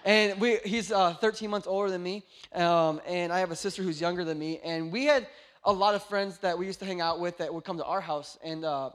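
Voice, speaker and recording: A man's voice: sits high, a little fast. Joking young man preaching a sermon. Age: 20s. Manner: joking, animated storytelling. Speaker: pastor. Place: church.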